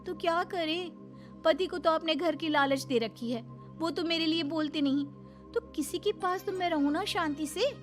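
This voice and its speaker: Lady doing sassy young bahu in a cartoon story, high voice, fed up, bit of music behind. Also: normal speed. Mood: sassy, defiant. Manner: sassy, mock-innocent, dramatic. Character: fed-up, cheeky young Indian daughter-in-law. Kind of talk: animated moral story acting.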